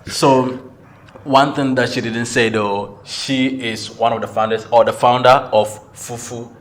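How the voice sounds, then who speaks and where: A man hosting a podcast in a relaxed, joking way, often repeating himself. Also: medium pitch, a little slow. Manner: easygoing, jokey, repeats words. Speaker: podcast host. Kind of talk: casual podcast chat.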